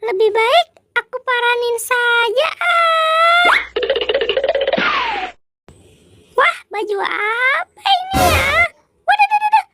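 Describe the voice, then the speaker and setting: Man voicing a high, slow, bumbling toy character, noisy. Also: expressive, full character voice. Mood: curious. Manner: exclamatory, wondering aloud to self. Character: curious, bumbling character in children's toy story. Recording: very noisy background.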